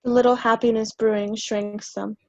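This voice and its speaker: A woman's voice: fairly high pitch, flat delivery, normal speed, a little mellow, no room sound, dull audio. A woman giving scripted narration, reading out the stage directions of a play.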